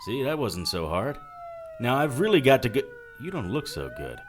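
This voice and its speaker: A man plays a 1940s detective hero in a period audio drama. He is sardonic and tough, with a mid-pitched, very animated delivery and offhand wisecracks. A light layer of noisy effects sits behind him. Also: normal speed, close to an everyday voice. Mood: wry, casual. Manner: wry, hardboiled, offhand quips. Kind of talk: full-cast period audio drama acting.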